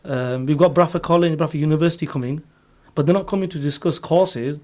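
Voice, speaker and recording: A man's voice: on the high side, quick pace, a little mellow. A man speaking as a recruiter guest in a radio interview, explaining earnestly and repeating his points. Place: radio studio.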